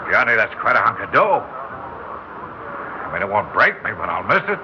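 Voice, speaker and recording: A man's voice: on the low side, very animated, a little slow. An actor playing a pushy, blustering wealthy father who haggles bluntly, in old-time radio drama style. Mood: anxious bargaining. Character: blustering wealthy father bargaining with his grown son. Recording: muffled, noisy old radio recording.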